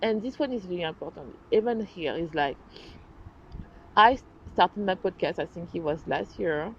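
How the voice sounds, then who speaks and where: A woman's voice: fairly low pitch, expressive, normal speed. A woman with a French accent hosting her own podcast, talking earnestly and animatedly in a casual solo style, often restarting and correcting herself. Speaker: podcast host. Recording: dull lo-fi sound, faint noise.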